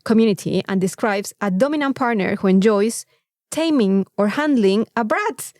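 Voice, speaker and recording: A Mexican woman with a native Spanish background, co-hosting a podcast in casual, comedic chat with a playful, sweary, animated storytelling manner. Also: medium pitch, normal speed, dry recording, studio quality. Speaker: podcast co-host.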